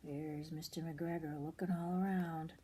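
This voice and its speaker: An older woman reads a children's picture book aloud expressively, pausing to explain things simply to young listeners. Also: low voice, steady tone, dark tone, slight background noise. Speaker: storyteller grandmother reading aloud.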